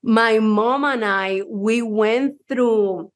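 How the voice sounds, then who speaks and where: A woman's voice: fairly high pitch, extremely slow. Upbeat woman with a Puerto Rican accent. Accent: Puerto Rican Spanish. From Cuba. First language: Spanish. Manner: enthusiastic, personal storytelling. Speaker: mindset coach and speaker. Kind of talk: motivational talk to a live audience.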